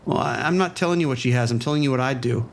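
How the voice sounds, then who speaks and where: A man voicing a weary police sergeant with a gravelly, creaky voice. He stays calm and informal and stumbles over his words now and then. His pitch sits at an ordinary middle level. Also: rapid-fire, slight background noise, close to an everyday voice. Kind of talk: audio drama acting.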